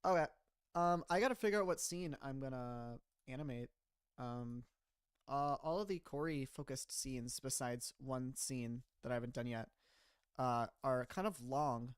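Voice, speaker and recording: A man's voice: medium pitch, unhurried, clear, resonant tone, no room sound, clean studio sound. A man in his mid-twenties, a streamer chatting casually and rambling with frequent laughs while reading his chat. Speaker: streamer and animator.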